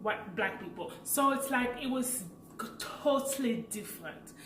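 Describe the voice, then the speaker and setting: A woman in her late twenties from Africa, with a French accent, chatting casually as a vlogger and telling a personal story with lively, laughing energy. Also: fairly high pitch, unhurried, roomy sound, recorded from a distance, slight background noise. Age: late 20s. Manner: chatty and animated, laughs while telling stories.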